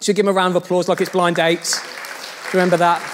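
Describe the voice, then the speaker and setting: A pastor preaches in a relaxed, jokey storytelling voice. His voice sits high for a man, and he talks straight to his congregation. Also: quick pace, crowd noise, background noise. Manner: chatty, jokey storytelling, addressing the church directly. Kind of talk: sermon.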